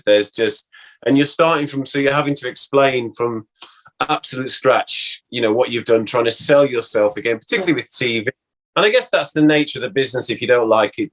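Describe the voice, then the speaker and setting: A man's voice: medium pitch, poor audio. A man talking candidly and wryly in a casual, reminiscing conversation as an interview guest. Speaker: interview guest, former actor.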